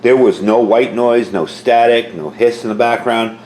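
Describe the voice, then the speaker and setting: A man's voice: mid-range voice, normal speed, a little mellow, a little hiss or hum. A man giving a casual, informal product review, explaining details as he goes, recorded indoors at home. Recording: roomy indoor echo.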